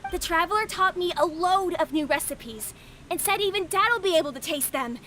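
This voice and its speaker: An actress playing a cheerful, eager young daughter in a video game, perky and calling out. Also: female voice, very high-pitched, normal speed, sharp tone, slight background noise. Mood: excited.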